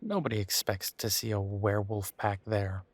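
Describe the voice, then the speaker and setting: A man playing a bitter, wry young werewolf in an audio roleplay, talking haltingly and sardonically as if in intimate one-sided conversation. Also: fairly low pitch, no echo, close to an everyday voice. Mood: rueful, bitter. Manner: halting, sardonic, conversational with sighs and repeated words.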